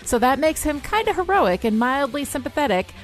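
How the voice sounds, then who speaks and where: A woman's voice: high voice, very animated, brisk, soft background music. A woman podcast host with a wry manner, moving between scripted recap and casual chat.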